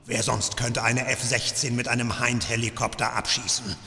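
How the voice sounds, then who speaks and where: An actor playing a sadistic, smug older gunslinger interrogator in a video game dub, taunting with theatrical, deliberate menace. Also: male voice, medium pitch, level tone, pushed and bright. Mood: grandiose, menacing. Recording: echoey, reverberant game audio.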